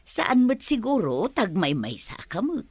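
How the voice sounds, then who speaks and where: A motherly middle-aged landlady acted by a woman from a radio-style drama, with an Ilocano accent. Her soothing talk moves with lively pitch, in a dry, close recording. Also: a little slow, breathy edge, no room sound, heavily acted. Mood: easygoing. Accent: Filipino Ilocano. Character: motherly, reassuring older landlady with a boarder. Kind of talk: audio drama acting.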